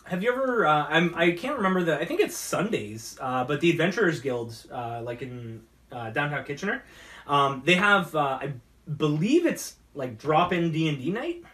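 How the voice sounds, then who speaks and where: A young man chatting casually as a co-host, halting and repeating his phrases. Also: on the high side, very animated, a bit bright. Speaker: talk show co-host. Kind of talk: casual chat. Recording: slightly distant from the mic.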